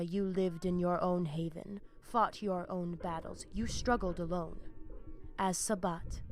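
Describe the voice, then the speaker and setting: An actress playing a formal, menacing vampire bishop in a video game, speaking deliberately. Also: female voice, lowish voice, a little mellow, natural voice. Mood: solemn. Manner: theatrical, deliberate, ornate phrasing. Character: female vampire bishop, menacing, formal, zealous sect leader. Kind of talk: video game dialogue acting.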